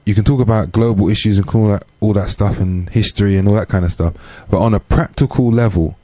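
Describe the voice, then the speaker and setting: Male radio guest, British accent, deep relaxed voice, earnest and measured conversational delivery. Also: dry recording. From UK, born to Caribbean parents. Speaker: guest, community organisation chair.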